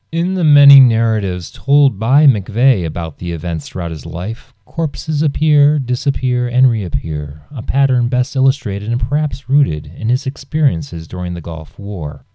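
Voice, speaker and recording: A man reading scripted nonfiction as an audiobook narrator, in a measured and serious manner. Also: deep voice, warm tone, no echo, highs cut off.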